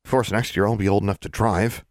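A man's voice: on the low side, fairly even intonation, fast, no echo, studio quality, natural voice. A man voicing a blunt, curious teenage girl who talks casually.

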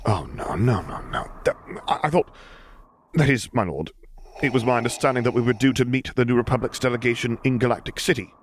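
A man's voice: medium pitch, brisk, a little hiss or hum, voice pushed up, natural voice. Man playing a flustered, dutiful naval admiral. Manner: formal, anxious, exasperated politeness.

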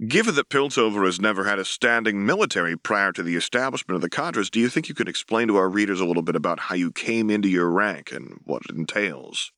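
A man's voice: lowish voice, lively intonation, fast talker, few pauses, pushed and bright, dry recording, clean studio sound. A man voicing a polite, inquisitive and professional female newspaper interviewer in a dramatic audiobook reading. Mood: professional, curious.

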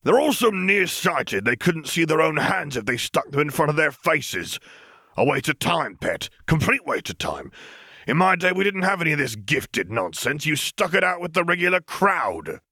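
Man acting blustering uncle, pitched up. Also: sing-song, moderate pace, pushed and bright, no room sound, clean studio sound, full character voice. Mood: indignant, blustering. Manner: indignant, grumbling rant. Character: blustering, irritable middle-aged uncle, pompous and indignant.

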